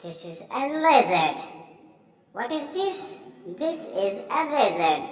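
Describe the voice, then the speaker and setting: A woman's voice: a little high, sing-song, slow. A woman narrating an educational video for young children, speaking in short, simple, repeated phrases and putting questions to the listener. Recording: echoey hall, far from mic, loud noise.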